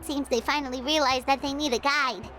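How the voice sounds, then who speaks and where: A woman voicing a tiny floating girl companion who chatters brightly and refers to herself in the third person. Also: sits high, fairly even intonation, brisk, slight background noise. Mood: chatty.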